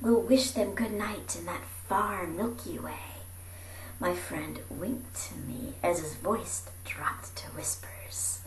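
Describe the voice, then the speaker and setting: A woman reading a rhyming picture book aloud in a theatrical, animated way, moving between hushed and projected delivery. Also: lowish voice, takes it slowly, a crowd in the background. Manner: theatrical, dropping into breathy whispers and calling out. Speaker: amateur storybook reader. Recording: roomy echo with faint background noise.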